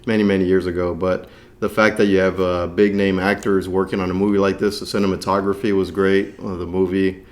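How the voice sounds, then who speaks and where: A man's voice: sits low, flat delivery, fairly quick. A man from Texas co-hosting a casual movie review discussion in a loose, rambling conversational way. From San Antonio, Texas, United States. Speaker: co-host.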